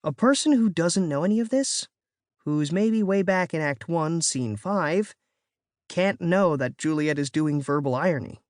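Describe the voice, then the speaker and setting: A narrator reading a children's novel in an expressive, first-person storytelling way. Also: medium pitch, a little slow, no room sound, studio quality. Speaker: audiobook narrator. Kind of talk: scripted audiobook narration.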